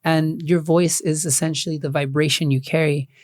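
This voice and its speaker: Raspy-voiced man, relaxed podcast guest. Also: fairly high pitch, moderate pace, dry recording, clean studio sound. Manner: relaxed, many fillers and restarts. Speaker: guest sound healer and musician. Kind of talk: casual podcast interview.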